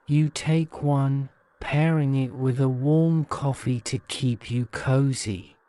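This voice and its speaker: Slow, smooth man narrating a sleep story. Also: mid-range voice, steady tone, warm tone, no echo. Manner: soothing, measured.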